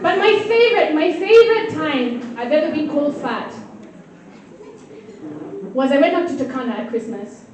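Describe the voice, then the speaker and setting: Woman doing standup, high voice, teasing the crowd, far off in a big echoey hall with people murmuring. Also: animated, moderate pace, long pauses, a little mellow, a little hiss or hum. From Kenya. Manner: playful, teasing the audience. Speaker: stand-up comedian. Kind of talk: stand-up comedy set. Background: audience laughter and crowd murmur.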